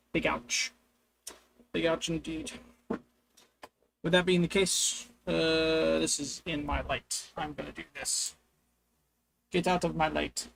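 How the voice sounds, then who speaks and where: Man with a crackly, gravelly voice. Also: on the high side, sharp tone. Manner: rambling, talking to himself while playing. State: voice crackly and strained. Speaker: streamer. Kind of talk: casual live-stream chatter. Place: hot, humid room with two PCs.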